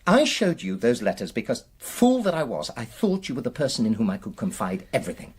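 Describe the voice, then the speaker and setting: A man's voice: mid-range voice, huge pitch swings, a little fast, a little mellow, slightly rough. A man acting in a naturalistic radio drama as a defensive, aggrieved husband, earnest and emphatic as he justifies himself. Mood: wounded, indignant. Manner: earnest, emphatic, self-justifying, hesitant under questioning. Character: aggrieved, defensive middle-class husband suspected of an affair.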